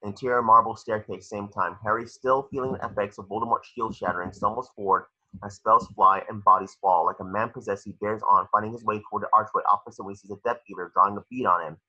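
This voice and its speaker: Forceful, husky man narrating a screenplay. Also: fairly low pitch, fairly even intonation, quick pace, dull audio. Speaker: stage directions narrator. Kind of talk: dramatic screenplay read-through.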